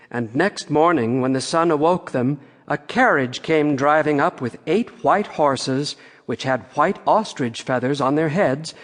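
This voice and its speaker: A man narrating a fairy-tale audiobook in a measured storytelling way, with long reverb on the recording. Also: on the high side.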